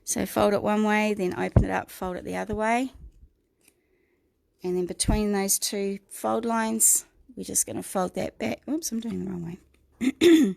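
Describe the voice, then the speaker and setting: A middle-aged woman with a New Zealand accent, croaky this morning, chatting through a livestream craft tutorial and greeting viewers by name. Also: mid-range voice, a little mellow, no room sound. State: croaky, clearing her throat.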